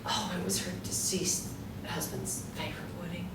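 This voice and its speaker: A woman's voice: lowish voice, steady tone, normal speed, natural voice. A woman playing a chirpy, anxious, proper friend in a stage play reading, fretful and exclamatory. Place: small indoor room, seated with scripts. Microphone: distant camera. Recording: echoey room, distant mic, faint noise.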